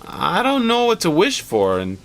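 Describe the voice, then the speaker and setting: A man's voice: high-pitched, huge pitch swings, moderate pace, voice pushed up, full character voice. A man voicing a nervous, credulous old father in a storybook, with a hesitant, earnest manner. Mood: dubious.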